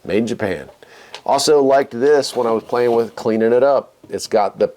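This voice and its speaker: A man's voice: mid-range voice, moderate pace, darkish tone. An American man hosting a casual unboxing and demonstration, talking in a folksy, conversational way as he thinks aloud. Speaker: video host.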